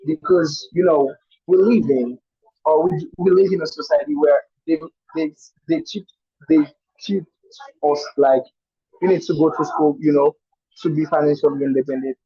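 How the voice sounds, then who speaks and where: A man's voice: a little high, moderate pace, slightly rough, no room sound. Man, haitian creole native, hesitant, restarts a lot, dry close sound, dull lo-fi line. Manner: hesitant, many "you know" fillers and restarts. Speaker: student in an online speaking class. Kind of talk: casual spoken answer. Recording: muffled online call audio.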